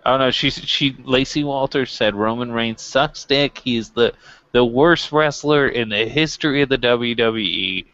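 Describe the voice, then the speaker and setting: A man's voice: mid-range voice, a little slow, fairly bright tone, dry recording, dull audio. A man co-hosting a wrestling review show, chatting casually with a wry, joking manner. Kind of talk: casual chat.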